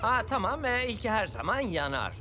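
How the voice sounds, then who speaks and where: Jolly purple cartoon cat: high, animated, clowning. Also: male voice, fairly quick, over loud music. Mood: playful. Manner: bouncy, lively, prone to clowning. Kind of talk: dubbed cartoon acting.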